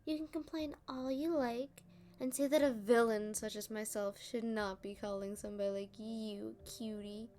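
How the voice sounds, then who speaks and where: A woman playing a playful, smug female supervillain in an ASMR roleplay, teasing and taunting with drawn-out phrasing. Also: on the high side, fairly even intonation, mellow, natural voice.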